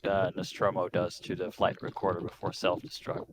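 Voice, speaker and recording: Low-voiced man playing a tabletop game. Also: completely flat delivery, normal speed. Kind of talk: casual game chat. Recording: noisy mic, room ambience.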